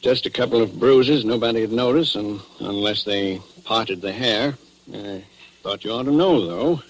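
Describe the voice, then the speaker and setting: An actor playing a furtive, loyal small-town doctor in a 1940s radio drama, speaking in a hesitant, confiding way. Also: male voice, lowish voice, unhurried. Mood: uneasy, confiding. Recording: noisy old radio recording.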